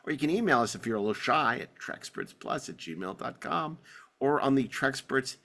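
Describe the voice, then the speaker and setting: A male podcast host with a bright, forward voice at a middling pitch. He talks casually and wanders off on teasing tangents, moving between banter and show announcements. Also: expressive, moderate pace. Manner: joking, digressive, teasing.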